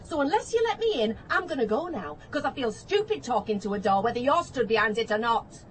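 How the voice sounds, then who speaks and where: An actress playing a chatty, persistent neighbour in a radio play, forthright and easily offended, with a northern English accent. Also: female voice, a little high, very animated, fairly quick, a little hiss or hum. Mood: earnest. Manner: forthright, colloquial.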